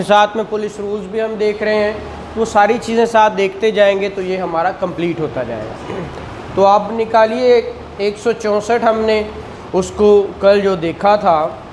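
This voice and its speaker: A Pakistani man teaches law at a slow, deliberate pace, in a didactic style that checks in with questions and repeats key phrases. His voice echoes in the classroom, with clear noise behind it. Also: high voice, darkish tone. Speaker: law lecturer. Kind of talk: classroom lecture. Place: indoor classroom.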